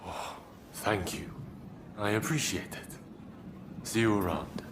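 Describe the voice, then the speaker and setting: A deep voice over noisy ambience, a courteous stranger in a video game. He stays composed, polite and restrained. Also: slow pace. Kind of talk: video game cutscene acting.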